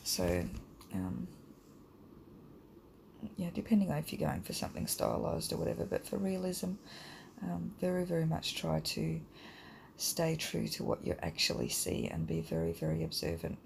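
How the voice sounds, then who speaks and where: A woman with a croaky voice from hay fever, teaching a drawing lesson in a relaxed, rambling way with many ums. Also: low-pitched, normal speed, a little hiss or hum. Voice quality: croaky, gravelly. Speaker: art teacher. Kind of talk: casual drawing tutorial voice-over.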